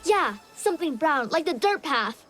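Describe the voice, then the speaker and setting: An eager game kid, really high voice, chatty and earnest, bit of music behind. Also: female voice, lively intonation, normal speed, fairly bright tone. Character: eager, earnest youngster wanting to please a mentor. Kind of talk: video game cutscene acting.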